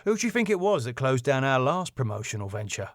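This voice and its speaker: A man's voice: mid-range voice, sing-song, fast talker, no room sound, studio quality. A man voicing a sardonic, ominous and exasperated club manager in a sitcom.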